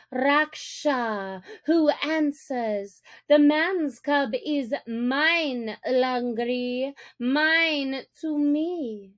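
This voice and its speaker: A woman voicing a protective mother wolf in a storybook, with an emphatic, drawn-out, expressive delivery. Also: high-pitched, voice pushed up. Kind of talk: storybook narration character voice.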